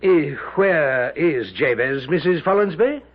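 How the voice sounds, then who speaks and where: Snooty sardonic army general, raspy high voice, muffled old radio play sound. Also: male voice, expressive, measured pace. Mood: imperious. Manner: imperious, formal, sardonic. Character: haughty, wry male British general in wartime. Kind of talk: radio drama acting.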